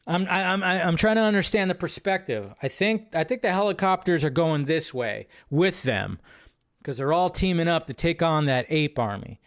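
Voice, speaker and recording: A man with a slightly high voice hosts a casual livestream, talking loosely with plenty of fillers and restarts. He reads viewer questions aloud and answers them in an offhand, chatty way. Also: expressive, normal speed. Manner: rambling, filler-heavy, frequent restarts. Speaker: host of a film news livestream.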